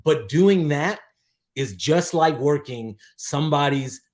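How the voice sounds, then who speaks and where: A man in his forties talking casually as a podcast guest, emphatic, with halting false starts and some swearing. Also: a little high, animated, a little mellow. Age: 40s. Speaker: podcast guest, DJ and aspiring filmmaker. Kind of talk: casual interview chat.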